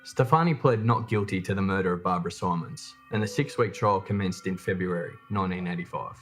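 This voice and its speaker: A man reading scripted true crime documentary narration in a measured, matter-of-fact way. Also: low-pitched, faint music behind.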